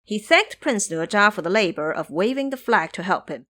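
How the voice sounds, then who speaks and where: A woman narrates a fantasy story with very lively, expressive intonation. Her delivery is scripted and dramatic, in the manner of an audio storybook. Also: medium pitch, moderate pace.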